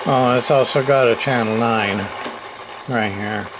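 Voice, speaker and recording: A man's voice: mid-range voice, unhurried, noisy background. A man giving a casual, unscripted gear demo, rambling along in plain-spoken, folksy grammar like a repairer showing his work. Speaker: radio repairer and online seller showing gear.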